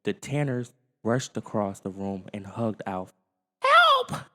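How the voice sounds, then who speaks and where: A man's voice: lowish voice, unhurried, darkish tone, in a large hall. A man reading a scripted children's story as its storyteller.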